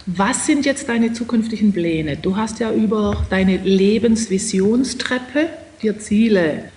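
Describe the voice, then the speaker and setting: A woman's voice: mid-range voice, takes it slowly, a little mellow. A woman interviewing a participant, asking plain encouraging questions. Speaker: interviewer, seminar leader.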